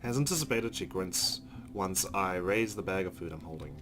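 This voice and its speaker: Low-voiced man reading game narration. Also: lively intonation, normal speed. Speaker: let's play host. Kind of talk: reading game narration aloud, casual asides.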